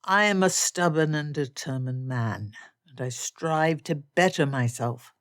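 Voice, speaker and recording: Casting: woman narrator as proud young gentleman suitor, lowish register, courtly and measured, teasing yet earnest, period romance audiobook, close dry sound. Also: animated, dry recording. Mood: earnest, solemn. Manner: formal, measured, courtly phrasing.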